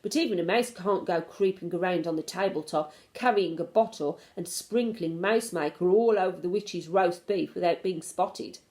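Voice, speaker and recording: A woman's voice: lowish voice, normal speed, few pauses, darkish tone, natural voice. A woman voicing a shrewd, affectionate old grandmother in a storybook, with an emphatic, doting manner. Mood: brisk, affectionate.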